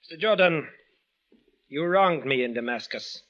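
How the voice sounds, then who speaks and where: A man's voice: on the high side, animated, measured pace, fairly bright tone. An actor playing a big, vengeful man from Damascus in an old radio drama, speaking formal, stilted English. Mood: accusing. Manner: formal, stilted foreigner's phrasing without contractions. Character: tall, big, menacing, vengeful bandaged foreign man from Damascus. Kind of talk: 1940s-50s radio drama acting. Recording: dull old radio recording.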